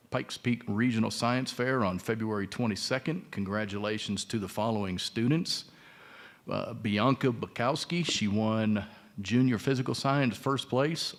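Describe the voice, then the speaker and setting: A man on a school board reading out a report of student awards at a public meeting, with fillers. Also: on the low side. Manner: reads lists of names and awards, with fillers.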